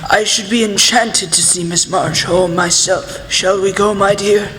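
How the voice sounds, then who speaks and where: A woman playing a gruff but kindly old grandfather in a radio play, speaking formally and with courtly manners. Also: mid-range voice, fairly even intonation, unhurried, fairly bright tone, close to an everyday voice. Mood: courtly, warm. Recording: echoey hall, faint noise.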